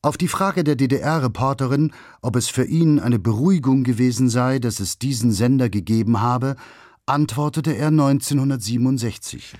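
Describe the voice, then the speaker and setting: A man's voice: medium pitch, normal speed, a little mellow, no echo, studio quality. A man delivering scripted documentary narration in a factual, formal manner. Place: dry studio.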